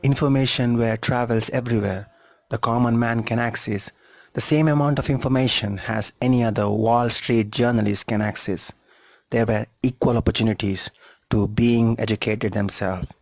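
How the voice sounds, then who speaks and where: A man's voice: medium pitch, fairly even intonation, normal speed, darkish tone, dry recording. A man reading a scripted history narration as a documentary narrator.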